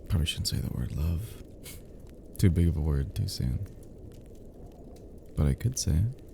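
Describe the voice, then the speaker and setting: A man playing a tender, flirty best friend in an intimate ASMR roleplay, murmuring softly and affectionately as he soothes the listener to sleep. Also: extremely low pitch, lively intonation, a little slow, vocal fry, noisy background, exaggerated voice. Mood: tender, confessional. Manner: soft, murmuring, gently teasing, affectionate.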